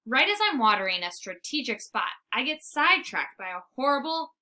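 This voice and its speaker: A woman reading a children's storybook aloud in an expressive, theatrical way. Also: high voice, fairly quick. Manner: animated, theatrical. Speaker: storybook reader. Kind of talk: expressive read-aloud narration.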